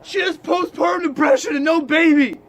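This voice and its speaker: A man's voice: very high-pitched, normal speed. A man trading crude, profane jokes and mock news as a comedy co-host. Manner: profane, irreverent.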